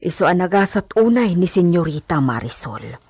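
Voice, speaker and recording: A woman's voice: lowish voice, big pitch swings, normal speed, darkish tone, husky, no echo, exaggerated voice. A woman playing a frank, fussy older-sister household servant in a radio drama, with a blunt, gossipy way of talking. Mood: gossipy, confiding. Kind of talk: Ilocano radio drama acting.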